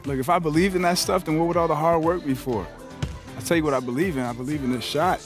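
A man's voice: a little high, quick pace, over music, natural voice. A young man playing a confident, laid-back basketball rookie in a video game cutscene, talking casually with dry humor and slang. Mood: mildly exasperated. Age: young adult.

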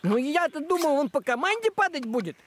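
An actor voicing a grumpy, fussy, thrifty tomcat in an animated series, with indignant, sarcastic grumbling. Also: high-pitched, fairly quick, slight background noise. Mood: wary, reluctant.

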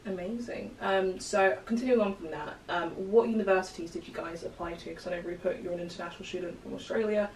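A young woman hosting a casual interview with students, giving quick advice to viewers, recorded by a distant camera in a small room. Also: fairly low pitch. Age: young adult. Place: small room with bookshelves, indoors. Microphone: far camera. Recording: roomy sound from a distant camera, faint noise.

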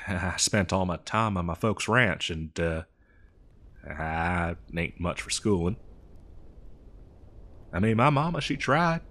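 A man's voice: low voice, expressive, fairly bright tone, full character voice. A man playing a dim, good-natured cowboy mail rider in a comedy roleplay, rambling hesitantly in an American cowboy drawl. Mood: chatty, sheepish. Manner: rambling, hesitant, folksy, slow-witted. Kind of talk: improvised comedy audio roleplay.

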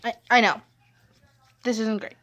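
A woman's voice: a little high, fairly even intonation, a little slow, a bit bright, dull audio. A teenage girl hosting a casual vlog, telling car-trip stories over her drawings in a rambling, self-mocking way, recorded on a handheld phone. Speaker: vlog host.

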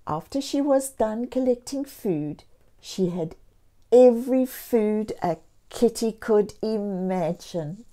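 A woman storyteller reading a picture book aloud to young children, expressively and deliberately, with encouraging asides. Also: medium pitch, expressive, mellow. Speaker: children's storyteller and channel host.

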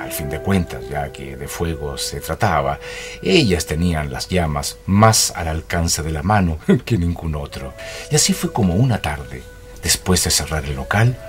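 A folksy Chilean radio drama narrator, wry and theatrical. The voice is very deep and swings expressively, with clear music behind it. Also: male voice. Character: wry, folksy male storyteller.

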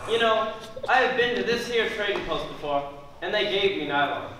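A man's voice: high voice, animated, normal speed, pushed and bright. A man playing a folksy, stubborn oddball country customer in a stage comedy, with rustic old-fashioned phrasing. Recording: distant, very echoey, noisy theatre stage recording.

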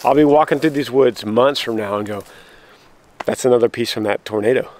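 A man filming a walking vlog outdoors in rural woods on a handheld camera, talking excitedly to viewers and breaking off mid-sentence. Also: mid-range voice, a little fast. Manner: excitable, breaks off and restarts, addresses viewers as guys. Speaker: vlogger showing storm damage. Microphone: handheld camera while walking.

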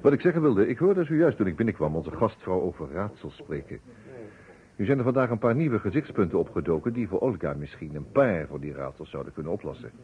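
A shrewd, methodical detective in an old radio play. His low voice swings expressively through formal, precise phrases. The recording is tinny and noisy. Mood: courteous, probing. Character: shrewd, courteous male investigator, probing and methodical. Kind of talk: Dutch radio drama acting.

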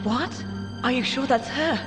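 A woman's voice: high voice, unhurried. An actress playing a tough young female agent in a video game cutscene, urgent and challenging. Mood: urgent, disbelieving. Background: loud music.

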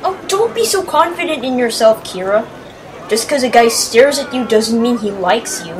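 Impulsive fantasy-drama boy of eleven played by a woman, Filipino accent, bright-eyed earnestness, high pitch, crowd noise behind. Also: expressive, normal speed, lightly acted. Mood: curious, teasing. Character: impulsive eleven-year-old apprentice boy, brave but unskilled. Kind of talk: fantasy audio drama acting. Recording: noisy with busy street ambience behind.

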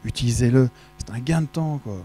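A man's voice: medium pitch, brisk, dark tone, soft background music. Chatty middle-aged man giving a tech talk. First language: French. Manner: chatty, jokey, asks the audience questions. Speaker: conference speaker. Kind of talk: casual tech conference talk. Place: large dark auditorium with projection screen. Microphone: handheld microphone.

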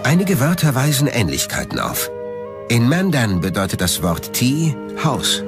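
A man's voice: mid-range voice, big pitch swings, a little slow, over music. A man narrating a documentary from a script.

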